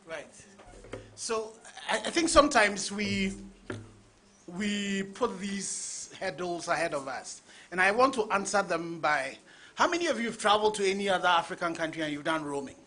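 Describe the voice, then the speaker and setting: High bright panelist man. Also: expressive, moderate pace. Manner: engaging, asks the audience questions.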